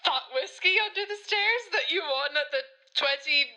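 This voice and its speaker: A woman's voice: high voice, expressive, normal speed, bright, forceful tone, exaggerated voice. A young woman with an Irish accent playing a tipsy, giddy granddaughter, rambling and confessional, in a radio play voicemail. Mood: hysterical giggling. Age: young adult. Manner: rambling, exclaiming, giggly.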